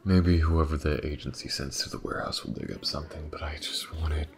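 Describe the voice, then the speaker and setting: A man playing a weary, driven detective in an audio roleplay, with a hesitant, muttering delivery and a gravelly voice. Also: steady tone, fairly quick. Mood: frustrated, dejected. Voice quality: very deep, gravelly. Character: weary, driven male detective investigating a dark mystery. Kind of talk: intimate audio roleplay acting.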